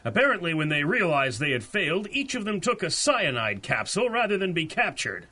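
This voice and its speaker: An actor playing a formal, cautious US Navy captain in a 1930s-style radio drama. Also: male voice, a little high, very animated, a little fast, bright, forceful tone, heavily acted. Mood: urgent, puzzled. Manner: formal, official, emphatic. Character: grateful but cautious US Navy airship captain.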